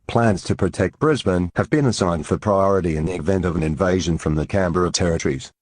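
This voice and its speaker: A man's voice: low voice, fast, noisy background. A man reading scripted fictional news and alerts as an announcer, in a formal, stiff manner.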